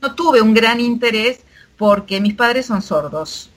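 A woman's voice: a little high, animated, measured pace. Thoughtful woman guest, native signer. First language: Argentine Sign Language. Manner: explanatory, thoughtful. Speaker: sign language interpreter, guest. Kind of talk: casual podcast interview.

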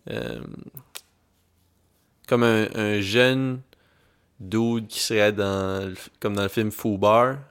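A young man with a Québécois French accent, co-hosting a podcast in a relaxed, casual chat and telling stories with English slang mixed in. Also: lowish voice, slow pace, pauses often, smooth. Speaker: podcast co-host.

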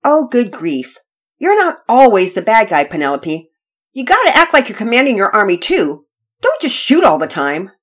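A woman voicing a bossy, impatient young boy in a children's story, loud and commanding. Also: on the high side, sing-song, normal speed, voice pushed up. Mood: exasperated.

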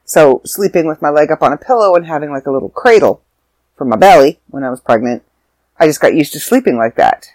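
A young woman vlogging casually from home, rambling and sidetracking, a little stuffy and hoarse with a sore throat and tired. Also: low-pitched, animated, a little fast, a little mellow, dry recording, rough recording. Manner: rambling, self-interrupting. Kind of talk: casual chat to camera.

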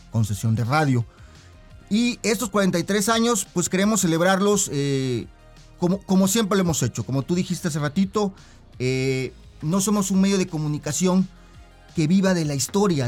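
High-voiced Mexican man, a radio director. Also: a little mellow. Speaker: broadcasting director being interviewed. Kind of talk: conversational broadcast interview.

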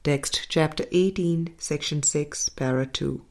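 A woman reads a spiritual book aloud in an unhurried, deliberate way, stopping to comment between passages. Her voice sits low, and her phrases often fade to a soft, quiet close. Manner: slow, deliberate, soft-spoken with quiet trailing endings. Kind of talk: measured audiobook-style reading with commentary.

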